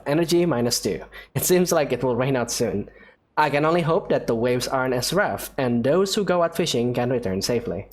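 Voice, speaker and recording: A woman's voice: very deep voice, a little mellow. A woman hosting a gaming video, casually reading dialogue aloud and commenting, with game music behind her.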